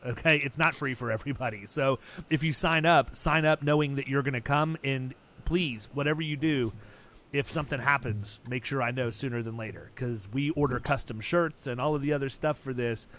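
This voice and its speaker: A man's voice: mid-range voice, fairly quick, a bit bright. A man hosting a podcast, telling stories in a blunt, emphatic and talkative way, often restarting his sentences. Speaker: podcast host. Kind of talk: casual podcast chat.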